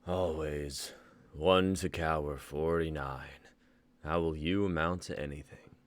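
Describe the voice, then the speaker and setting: A man's voice: a bass voice, steady tone, slow pace, no room sound. A man voicing a cruel, menacing executioner who taunts his victim, in a live dramatic reading. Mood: taunting. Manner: cold, taunting. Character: menacing male executioner, half-faced and cruel.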